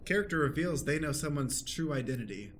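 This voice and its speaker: A man's voice: on the high side, lively intonation, fairly quick, slight background noise. Matter-of-fact male stage-direction narrator. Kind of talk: reading out stage directions in improvised radio play.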